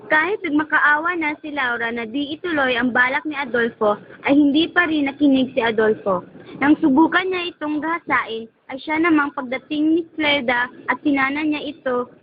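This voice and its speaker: A woman reading scripted narration for an amateur radio drama. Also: high voice. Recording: dull lo-fi recording with clear noise.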